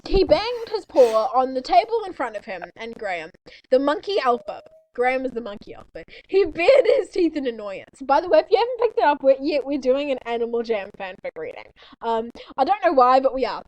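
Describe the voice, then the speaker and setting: A woman's voice: high-pitched, big pitch swings, a little fast, darkish tone, no room sound, rough recording. A woman chatting casually and reading aloud informally with a friend, giggly and often breaking off to react. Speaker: podcast co-host reading fan fiction aloud.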